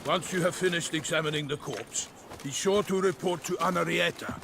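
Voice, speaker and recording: An actor playing a proud, earnest knight errant in a video game, speaking in a formal, flowery, theatrical way. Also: male voice, a little high, steady tone, normal speed, gravelly, background noise. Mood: courtly, earnest. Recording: music behind.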